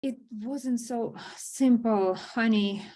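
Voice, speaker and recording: A woman reading the part of a remorseful mother, speaking in a soft, pleading way. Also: on the high side, slow pace, echoey room. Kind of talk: screenplay table read.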